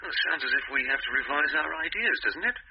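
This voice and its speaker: An actor playing a businesslike, probing police detective in a radio drama. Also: male voice, a little high, animated, fast, husky, heavily acted. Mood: probing, brisk. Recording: muffled radio drama with faint noise.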